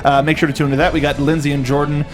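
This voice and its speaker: A man with a slightly high voice hosts a casual film discussion. He talks with a fan's enthusiasm. Faint music plays behind him. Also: flat delivery, very fast. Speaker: talk show host.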